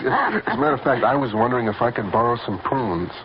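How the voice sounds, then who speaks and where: A man's voice: medium pitch, lively intonation, fast talker, a loud crowd, lightly acted. A male actor playing a sheepish, hesitant bachelor neighbor in a comic radio commercial, on a muffled old recording. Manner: hesitant, embarrassed.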